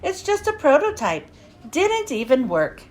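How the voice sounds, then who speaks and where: A big, kind blue monster played by a woman reading a storybook, easily flustered and hesitant. The voice stays high and jumps around in pitch. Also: wildly swooping pitch, unhurried, heavily acted. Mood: flustered, evasive.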